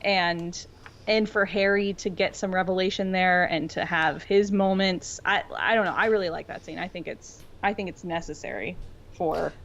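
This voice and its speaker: A slightly dull, lo-fi recording of a woman with a lowish voice, guesting on a podcast. She chats informally about pop culture, in a jokey and enthusiastic fan's way. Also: normal speed, fairly bright tone, slight background noise. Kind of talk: casual pop-culture chat.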